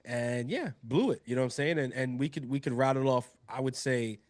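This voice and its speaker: A man with a cold who sounds nasal, co-hosting a casual sports chat in a relaxed, conversational way. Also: mid-range voice, a little fast. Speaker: podcast co-host.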